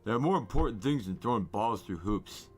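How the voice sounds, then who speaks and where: A man's voice: on the low side, animated, a little fast, soft background music, lightly acted. A man voicing a dismissive, secretive teenage older brother in storybook dialogue, blunt and offhand.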